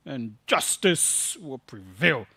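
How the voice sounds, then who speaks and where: A man's voice: mid-range voice, very animated, slow pace, vocal fry, pushed and bright, breathy edge, no echo, clean studio sound. A man hosting a live current affairs radio show, talking and reading statements aloud. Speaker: radio talk show host.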